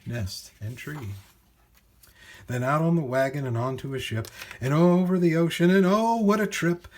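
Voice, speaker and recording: Male children's storybook narrator: theatrical, rhythmic verse reading, lively intonation, slightly rough higher voice.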